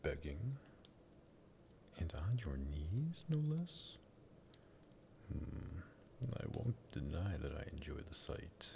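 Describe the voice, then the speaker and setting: A man playing a sadistic, possessive vampire master in an ASMR roleplay, in a breathy, gravelly whisper with a teasing, condescending drawl. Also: extremely low pitch, very animated, takes it slowly, pauses often, noisy background, full character voice. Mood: teasing, taunting. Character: sadistic vampire master, possessive and menacing, toying with captive lover.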